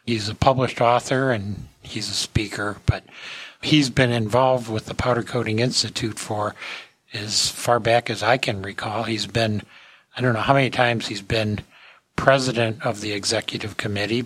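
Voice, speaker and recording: A man's voice: fairly low pitch, fairly even intonation, moderate pace, a bit bright, breathy edge, no room sound. A man hosting an industry podcast in a casual talking style. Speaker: podcast host.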